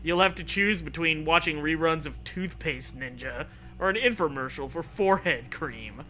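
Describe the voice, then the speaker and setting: A man reading a comic storybook aloud with animated, profane casual banter. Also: a little high, moderate pace. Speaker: reader of a comic choose-your-own-adventure book.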